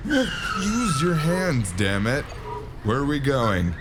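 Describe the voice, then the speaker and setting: A man playing a weary, gruff detective in a noir audio drama, speaking in a dry, terse, gravelly way. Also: medium pitch, wildly swooping pitch, takes it slowly, heavy background noise. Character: weary gruff male noir detective narrating his cyberpunk case. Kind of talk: hard-boiled noir audio drama narration and dialogue.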